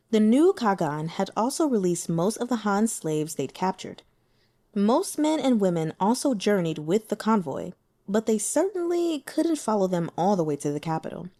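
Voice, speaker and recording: A woman's voice: mid-range voice, mellow. A woman reading a novel aloud as scripted narration, in the style of an audiobook. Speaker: audiobook reader.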